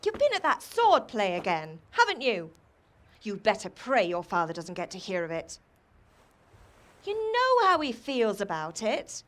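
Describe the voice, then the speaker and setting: A woman's voice: medium pitch, wildly swooping pitch, measured pace, a bit bright. An actress playing a fussing, scolding mother who rouses her grown son, in a game cutscene. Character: scolding, caring peasant mother. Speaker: mother and blacksmith's wife. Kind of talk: video game cutscene acting.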